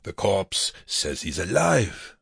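A man's voice: medium pitch, lively intonation, unhurried, creaky, fairly bright tone, slightly rough, dry recording, clean studio sound, voice pushed up, full character voice. A man voicing a hard, bitterly urgent camp prisoner who goads and taunts, in an audiobook story. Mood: mocking. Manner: goading, harsh, taunting.

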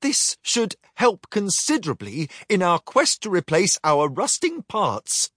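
A man's voice: high-pitched, huge pitch swings, a little slow, fairly bright tone, no echo, studio quality, pitched above the performer's own voice, exaggerated voice. A man doing a prim, clever robot quartermaster. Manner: prim, fussy.

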